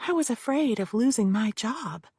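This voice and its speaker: A woman's voice: a little high, expressive, normal speed, a little mellow, husky, dry recording, voice pushed up. A defensive young woman on the witness stand, as a narrator voices her, drawling in a Southern California accent and keeping her answers short. The voice sits close and dry, with no room sound.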